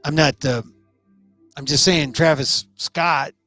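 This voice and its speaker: A middle-aged man with a hoarse, scratchy voice, hosting a live online talk show and giving loose, opinionated commentary with restarts. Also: fairly high pitch, slow, pushed and bright, faint music behind.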